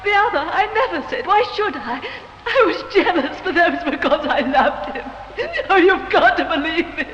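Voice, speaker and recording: A woman's voice: very high voice, expressive, normal speed, fairly bright tone. An actress playing an emotional, pleading young woman on trial for shooting her lover in a 1950s radio drama. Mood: desperate pleading. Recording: muffled, noisy, reverberant old radio recording.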